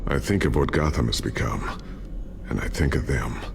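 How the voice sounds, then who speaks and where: An actor playing a loyal, careful inventor and trusted ally in a video game, speaking in a measured, reassuring way. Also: male voice, rumbling low, creaky, noisy background. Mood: solemn. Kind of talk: video game dialogue, explanatory.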